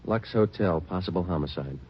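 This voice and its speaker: Guy acting as terse radio cop, real deep flat deadpan delivery, old dull recording. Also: a little fast, noisy background, lightly acted. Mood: deadpan, businesslike. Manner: clipped, deadpan, matter-of-fact. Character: terse, stoic male police detective sergeant narrating. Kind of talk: 1950s radio drama narration and dialogue.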